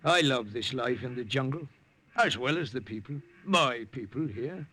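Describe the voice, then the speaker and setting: A man's voice: mid-range voice, expressive, moderate pace, sharp tone, slightly rough. An actor playing a kindly, fatherly missionary priest in a 1950s radio drama, with a lilting, folksy manner. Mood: genial.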